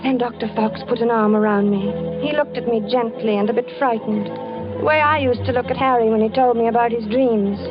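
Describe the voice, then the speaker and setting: A woman's voice: a little high, fairly even intonation, fairly quick, lightly acted. An actress playing a frightened wife who narrates her husband's death with earnest, anxious storytelling in a 1940s radio drama. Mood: somber. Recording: dull vintage radio recording with music.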